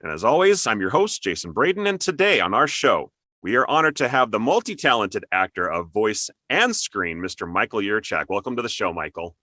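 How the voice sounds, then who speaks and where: Male interview host, animated and bright, upbeat conversational delivery. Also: fairly low pitch, fairly quick, dry recording, clean studio sound. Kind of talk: upbeat show intro and interview chat.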